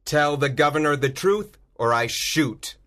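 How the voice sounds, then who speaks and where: A man's voice: a little high, animated, unhurried, sharp tone, pitched above the performer's own voice. A man doing a bold masked hero. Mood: stern. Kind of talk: graded reader audiobook.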